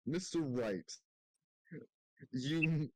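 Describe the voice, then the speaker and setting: A man playing an elderly, puzzled courtroom judge in an amateur game dub, halting and stumbling over words. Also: fairly high pitch, dark tone, dry recording, poor audio.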